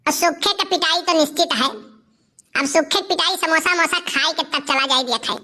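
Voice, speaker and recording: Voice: very high voice, moderate pace, bright, forceful tone, full character voice. A performer voicing a scheming, vengeful young male village troublemaker with an Awadhi accent in a comic cartoon. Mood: gloating.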